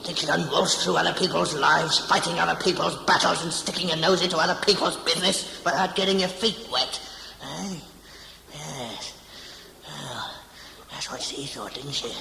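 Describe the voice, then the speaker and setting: A man's voice: on the high side, normal speed, pushed and bright. An actor playing a cantankerous older male healer who scolds and mocks in colloquial speech with hectoring "eh?" tags, in an audio drama. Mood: scornful. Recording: very echoey room, clear background noise.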